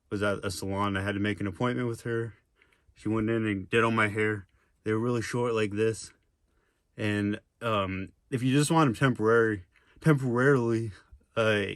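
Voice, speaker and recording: A young man with a low, fairly monotone voice chats loosely to camera, wandering off on tangents and filling pauses with "uh" and "like." The sound is dry and close, with little room in it. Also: clean tone, no room sound. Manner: rambling, with many fillers and tangents. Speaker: vlogger. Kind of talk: casual vlog monologue.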